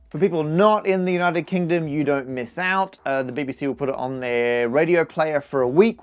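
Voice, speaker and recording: A man presenting an informal maths explainer to camera, animated and conversational, with dry, deadpan comic asides. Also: on the high side. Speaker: science video presenter and comedian.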